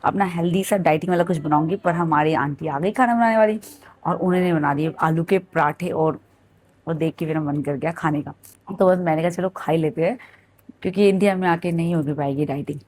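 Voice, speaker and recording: A woman in her thirties with a Punjabi-tinged accent and a hoarse throat, chatting through a home vlog in her kitchen and slipping into English phrases. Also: deep voice, a little fast, a little mellow. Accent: Punjabi-tinged Hindi. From India. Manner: chatty, code-switches into English. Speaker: vlogger. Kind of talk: casual vlog narration.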